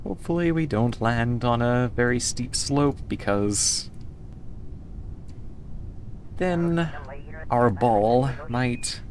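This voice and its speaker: A woman's voice: extremely low pitch, slow, pauses often, darkish tone, a little hiss or hum. A woman from the United States streaming a game and chatting casually with her viewers, easygoing and rambling as she answers chat between moves.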